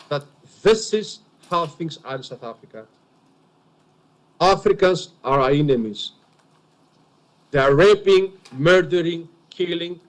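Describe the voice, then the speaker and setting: A man with a heavy Greek accent, a native Greek speaker, gives witness testimony at an enquiry. His voice sits a little high. He speaks in an earnest, emphatic, confessional way. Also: rough recording. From Greece.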